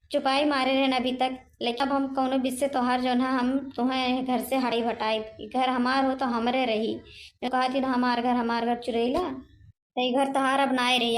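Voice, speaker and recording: A woman's voice: a little high, close to an everyday voice. A woman voicing a feisty, fed-up village daughter-in-law in a cartoon, grumbling defiantly with an Awadhi accent. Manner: grumbling, determined.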